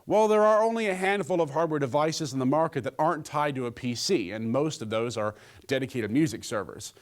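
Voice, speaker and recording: Higher-pitched man presenting a gadget review. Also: animated, brisk. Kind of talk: scripted gadget review with comic asides.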